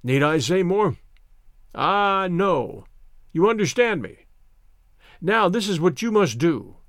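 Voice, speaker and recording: An old French grenadier, acted out by a male narrator in a storybook tale, grandiloquent and flattering. His voice sits high and swings widely, heard close and dry. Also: slow, no echo, exaggerated voice. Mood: solemn, confidential. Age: older. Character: effusive old French soldier, flattering, theatrical, wily.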